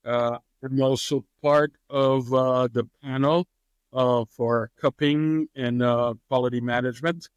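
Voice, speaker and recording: A man answers questions at an easy, unhurried pace, often pausing on fillers and chuckling. His voice sits in a middle register and sounds close and dry, with no room around it. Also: mid-range voice, clear, resonant tone, no room sound, dull audio. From Haiti. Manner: relaxed, hesitant with frequent fillers, laughs easily. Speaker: master distiller, guest. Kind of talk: interview answers.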